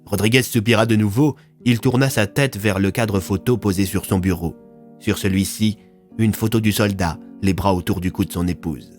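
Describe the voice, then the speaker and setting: A man's voice: lowish voice, fairly even intonation, normal speed, faint music behind. A man reading scripted narration for an audio fiction serial, in a storytelling delivery.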